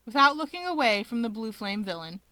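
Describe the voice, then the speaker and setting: A woman reading fan fiction aloud as an expressive storyteller. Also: on the high side, brisk, no room sound, rough recording. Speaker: narrator.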